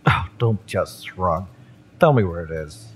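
A fiery mercenary, acted by a man, in an audio drama, with a low voice that swings expressively. He taunts slowly and deliberately, cocky and menacing, over noticeable ambient noise. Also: expressive. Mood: stern, threatening. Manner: taunting, sardonic, drawn-out deliberate delivery. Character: fiery male mercenary, cocky, exasperated, menacing yet familiar. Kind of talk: scripted romance audio roleplay acting.

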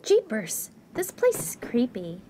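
A woman's voice: fairly high pitch, wildly swooping pitch, slow, mellow, slight background noise, exaggerated voice. An actress playing a naive, wide-eyed young woman out on a date in a comedic 1950s radio play. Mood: wide-eyed, curious.

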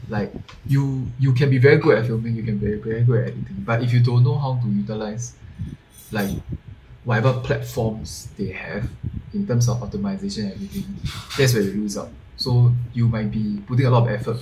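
A man's voice: medium pitch, background noise. A young man in his twenties with a Singaporean English accent, a podcast guest talking earnestly over a video call and often repeating words as he thinks. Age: 20s. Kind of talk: casual video-call chat. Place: home room. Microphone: earphone mic on video call.

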